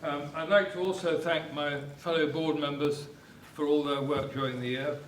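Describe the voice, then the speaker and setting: A man's voice: a little high, flat delivery, moderate pace. An older man giving an informal welcome speech as chairman, rambling a little and hesitantly repeating words. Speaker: club chairman. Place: echoey hotel meeting room. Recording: echoey room, distant mic, faint noise.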